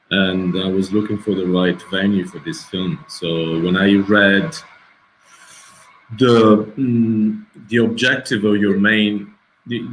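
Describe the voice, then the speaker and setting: A middle-aged man speaks over a video call in a lowish, bright voice. He halts often, restarting phrases as he hunts for words in English. A faint room hum sits behind him. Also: poor audio. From Italy. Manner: hesitant, searching for words, many restarts. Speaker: documentary filmmaker. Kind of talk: festival Q&A answer.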